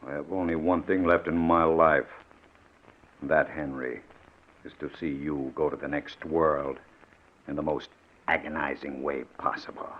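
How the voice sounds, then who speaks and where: Vengeful ex-convict, very low pitch, sardonic, deliberate, menacing, old radio suspense, dull muffled recording. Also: male voice, fairly even intonation, slight background noise. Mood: sardonic menace. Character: vengeful ex-convict, bitter, coldly menacing widower. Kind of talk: 1940s radio suspense acting.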